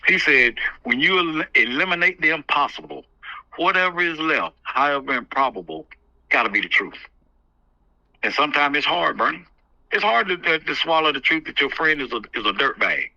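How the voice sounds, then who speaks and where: Man, emphatic advice-giver, hard forceful tone, repeats himself for effect, muffled phone audio. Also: on the high side, expressive, normal speed, vocal fry, dry recording. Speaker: community activist giving advice on a call. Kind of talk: candid phone conversation, advising. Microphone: telephone. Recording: muffled, band-limited phone call.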